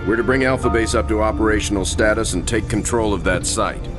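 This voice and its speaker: A man playing a steady, authoritative middle-aged starship captain in a video game, speaking in a measured, commanding way. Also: on the low side, natural voice. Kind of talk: video game cinematic acting. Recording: music behind the dialogue.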